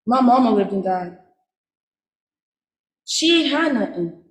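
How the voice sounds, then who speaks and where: A woman's voice: on the high side, expressive, slow pace. A woman acting a restless young man in a theatre monologue, emphatic and rhythmic. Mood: yearning. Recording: echoey room.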